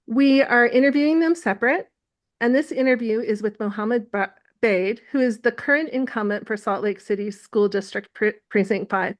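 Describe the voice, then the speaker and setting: A woman moderates a community interview, reading her introduction from a script in a steady, businesslike way. Her voice sits on the higher side. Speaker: community council chair and moderator.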